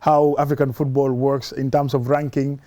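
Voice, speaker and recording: A man with a Gambian accent talking through sports matters in an explanatory, measured, conversational way, as a journalist on a talk show. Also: mid-range voice, flat delivery, warm tone, dry recording.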